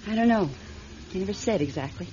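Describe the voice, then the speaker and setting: A woman's voice: medium pitch, animated, fast, warm tone, natural voice. An actress playing an anxious stranded woman in a radio drama, speaking in a naturalistic, hesitant, pleading way. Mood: matter-of-fact. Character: anxious stranded young woman, a secretive money courier. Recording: dull, noisy radio drama recording.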